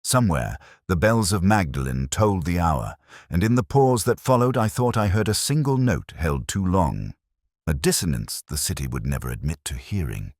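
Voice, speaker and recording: A man narrating a scripted first-person detective story in a measured, brooding, literary manner. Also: low-pitched, lively intonation, no echo, clean studio sound.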